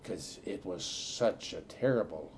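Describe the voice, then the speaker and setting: An older man from a Norwegian-speaking farm family in the upper Midwest, telling halting, rambling memories with many fillers in an oral history interview. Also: low-pitched, a little mellow, slight background noise.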